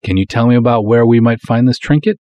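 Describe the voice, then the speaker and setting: A man's voice: medium pitch, fast talker, warm tone, no room sound, lightly acted. A man playing a proud, folksy small-town cop who narrates his own pulp detective story in breezy, wisecracking, colloquial style. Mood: confident, casual. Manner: breezy, colloquial, hard-boiled wisecracking delivery. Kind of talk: dramatic first-person pulp story narration.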